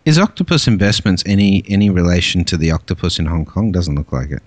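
A man's voice: low-pitched, fast talker, dry recording. A man from Australia hosting a podcast, chatting casually with lots of fillers and restarts. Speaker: podcast host. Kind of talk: casual interview chat.

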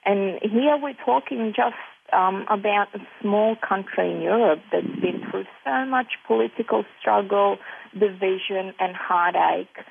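Lady from serbia talking yoga, lots of ums, gravelly voice, tinny phone sound. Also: mid-range voice, a little hiss or hum. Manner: measured, frequent "um" fillers. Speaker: yoga teacher, panel guest. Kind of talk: panel discussion over phone line.

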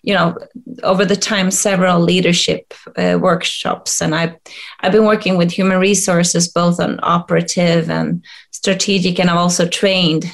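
A middle-aged woman from Sweden with a Swedish accent, answering interview questions hesitantly with many pauses and restarts. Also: on the low side, level tone, gravelly. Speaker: guest, coach and HR expert.

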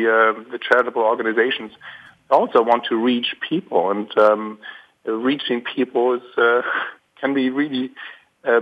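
A hoarse man, calling in as a guest and speaking hesitantly with many ums and restarts. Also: mid-range voice. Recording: dull lo-fi call line.

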